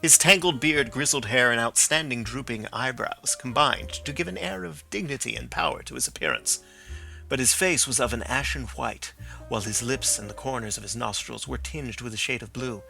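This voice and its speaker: A male audiobook narrator reads a classic mystery in a measured, varied manner. His voice is bright with a gravelly edge. Faint music plays underneath. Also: medium pitch, animated. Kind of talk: reading a classic detective story.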